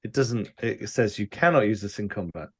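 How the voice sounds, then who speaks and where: A man with a low voice plays in a tabletop roleplaying session. He speaks casually, and the sound is close and dry, with very little room echo. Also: lively intonation, a little fast, a bit bright, husky. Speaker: tabletop game player. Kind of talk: casual chat.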